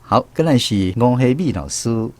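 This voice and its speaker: A man's voice: medium pitch, expressive, normal speed, a little mellow, soft background music. A man with a Taiwanese accent hosting a radio language quiz, speaking to listeners and students.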